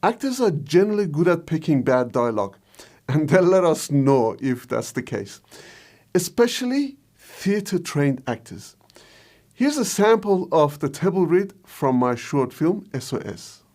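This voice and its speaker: Guy hosting an explainer video, voice kinda high, goes up and down a lot. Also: very animated, a little slow, darkish tone. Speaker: video host, short film director. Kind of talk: scripted explainer narration.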